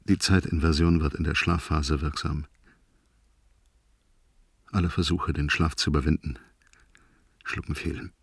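A man's voice: a bass voice, little pitch movement, unhurried, gravelly, long pauses, slightly rough, no room sound, heavily acted. An actor playing a weary older crewman dictating a grave spaceship log in a radio play. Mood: grave, factual. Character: weary oldest crewman logging a spaceship emergency.

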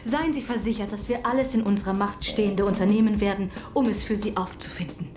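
An actor playing a servile, formal shop owner in a German radio play. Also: male voice, high voice, steady tone, fast, a little hiss or hum, close to an everyday voice. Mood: deferential. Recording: roomy echo.

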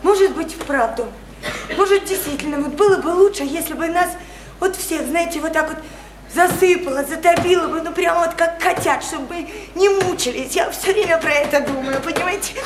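A high, childlike voice of a naive, soulful young provincial woman in a radio drama. She speaks haltingly and repeats herself with earnest feeling. The recording is echoey, with clear background noise. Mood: plaintive, pitying. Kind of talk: stage-style radio drama acting.

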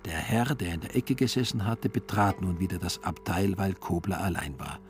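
Low-voiced male radio play narrator. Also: normal speed, faint music behind.

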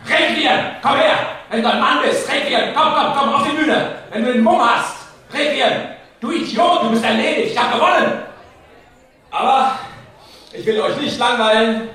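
Boastful male orator, theatrical and taunting, high-pitched, heard from far off in an echoing hall. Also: moderate pace, bright, forceful tone. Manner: declamatory, taunting. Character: boastful, taunting man addressing a crowd from a stage. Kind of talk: theatrical stage speech. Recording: echoey hall, distant mic, crowd noise.

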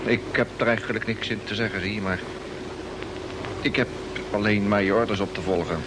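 A man's voice: steady tone, fairly quick, natural voice. An actor playing a bored, doubtful expedition crewman in an old Dutch radio play, grumbling colloquially and hesitantly. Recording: noisy old radio recording, vehicle rumble.

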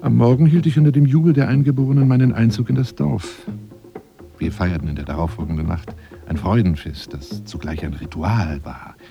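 An actor playing a self-dramatizing, obsessive big-game hunter monologuing on the telephone in a radio play, theatrical and often dropping into breathy whispers. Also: male voice, on the low side, brisk, muffled recording, over music. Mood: confiding, rapturous. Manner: theatrical, swinging from hushed murmurs to agitated outbursts.

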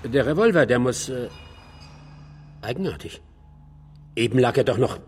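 An actor playing a composed, clinical doctor in a German radio crime drama. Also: male voice, medium pitch, animated, normal speed, a little hiss or hum, lightly acted. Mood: concerned. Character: composed, clinical male doctor explaining neuroses.

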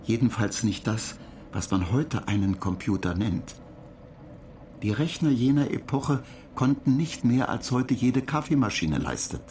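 A man's voice: mid-range voice, animated, unhurried, darkish tone, slight background noise, close to an everyday voice. A man playing a dutiful data-agent machine that lectures in a matter-of-fact, pedantic way in a radio play. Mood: instructive. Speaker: narrator.

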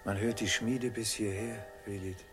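A man's voice: lowish voice, steady tone, normal speed, music in the background, natural voice. An actor playing a haunted, brooding merchant in a German radio play, grave and fatalistic in his delivery.

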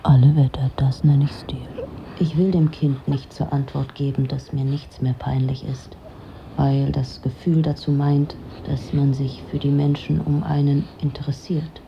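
A woman's voice: low-pitched, little pitch movement, measured pace, gravelly, lo-fi sound, slight background noise. An actress playing a weary, detached, lonely woman who narrates her own story in a dry, introspective way for a radio drama. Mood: dry, reserved. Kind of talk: literary first-person radio drama narration.

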